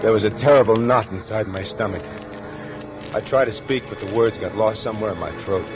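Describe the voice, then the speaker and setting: An actor playing a nervous killer on the run, narrating in tough colloquial talk in 1940s radio style. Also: male voice, lowish voice, a little fast, dull audio, over music, natural voice. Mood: guilty, shaken. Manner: colloquial tough-guy talk with tense hesitations. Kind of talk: 1940s radio acting, first-person crime narration. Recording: old radio recording with musical underscore.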